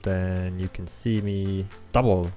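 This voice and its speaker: A young man with an Estonian accent, his first language Estonian, walks through a coding tutorial in a relaxed way, with frequent ums as he thinks aloud. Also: deep voice, takes it slowly. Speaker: app developer tutorial host. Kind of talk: screen-recorded coding tutorial. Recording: faint background music.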